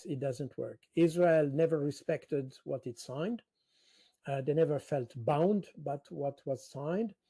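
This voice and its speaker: A man with a fairly high voice gives political analysis in an interview. He speaks very slowly, in halting, deliberate phrases, and the sound is dry and close. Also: warm tone, husky, no echo. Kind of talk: spontaneous interview commentary.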